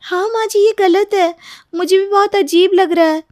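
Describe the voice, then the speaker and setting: A woman puts on a kind young sister-in-law for a moral story. The voice is lifted high and dry, respectful yet indignant and bold. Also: steady tone, normal speed, a little mellow, no room sound. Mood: concerned. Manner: respectful, concerned. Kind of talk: Hindi moral story voice acting.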